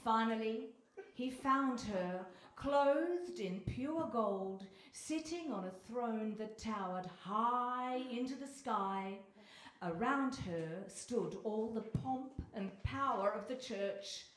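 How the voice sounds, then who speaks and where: Unhurried woman storyteller in echoey hall. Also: on the high side, expressive. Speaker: narrator. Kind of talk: scripted storybook narration, performed live. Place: live stage in a hall with audience. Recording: echoey hall, clearly noisy.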